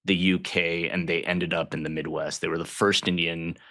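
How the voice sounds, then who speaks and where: Low-voiced man, relaxed and chatty. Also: normal speed, no room sound, studio quality. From Southern Illinois, United States. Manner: relaxed, conversational, with frequent fillers and restarts. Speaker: guest, water agency general manager. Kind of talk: casual podcast interview.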